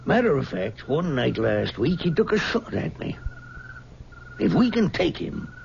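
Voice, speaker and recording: Animated, creaky county sheriff. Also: male voice, a little high, a little slow, full character voice. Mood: matter-of-fact. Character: tense small-county sheriff hunting a fugitive. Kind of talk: 1970s radio drama acting. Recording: dull old radio recording, background noise.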